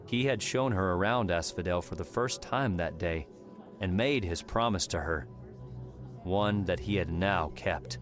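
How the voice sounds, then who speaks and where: A man narrating a fiction audiobook in a measured storytelling style. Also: low voice. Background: soft background music.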